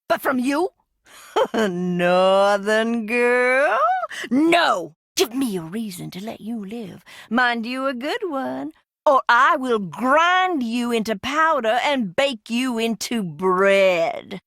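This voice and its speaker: An actress playing an eccentric, bitter younger sister in a video game, theatrical and mercurial, giggly and menacing by turns. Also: female voice, on the high side, animated, takes it slowly, a bit bright, dry recording, clean studio sound, full character voice. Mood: unhinged, menacing. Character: eccentric, bitter, unhinged younger sister living hidden in a crypt. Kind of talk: video game character acting.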